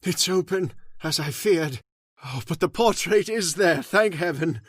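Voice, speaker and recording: Old-time radio horror, spooky old man servant, a man acting it, solemn warnings, high voice, slow. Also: animated, slightly rough, dry recording, studio quality. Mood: dread. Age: older. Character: fearful pious old male servant.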